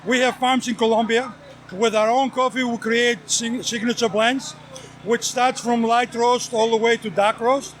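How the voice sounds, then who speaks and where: A man from Greece with a Greek accent, a coffee vendor giving an earnest sales pitch outdoors at a race event. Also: fairly even intonation, moderate pace, a bit bright.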